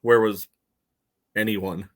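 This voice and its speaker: American male sports podcast co-host, slightly deep voice, casual conversational match analysis. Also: steady tone, takes it slowly.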